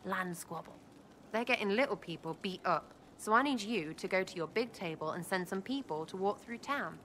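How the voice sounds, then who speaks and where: A cheeky young female elf from a video game, acted by a woman with a bright, forceful voice. Her British accent is slangy and irreverent. There is faint ambience behind her. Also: medium pitch, animated, normal speed, slight background noise, lightly acted. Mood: playful. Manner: breezy, slangy, impish. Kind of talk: video game dialogue acting.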